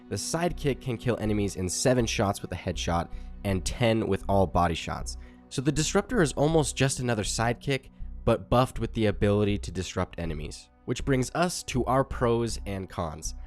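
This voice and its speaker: A man with a cold, his voice a little off, giving an upbeat scripted gaming tutorial straight to his viewers. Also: on the low side. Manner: upbeat, explanatory, direct to viewers. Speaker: gaming YouTuber.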